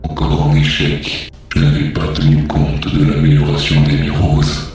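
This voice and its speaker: Very deep, echoing villain mastermind. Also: male voice, monotone, unhurried, darkish tone. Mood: brooding, frustrated. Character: frustrated, calculating male villain mastermind. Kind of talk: French fan comic dub. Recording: heavily echoing, distant voice over music.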